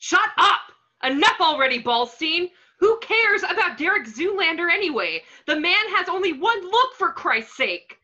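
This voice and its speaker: A woman's voice: very high-pitched, sing-song, moderate pace, bright, forceful tone, full character voice. A woman voicing a ranting, egomaniacal male fashion mogul villain in a theatrical manner over a video call. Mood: scornful. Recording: muffled lo-fi video call audio.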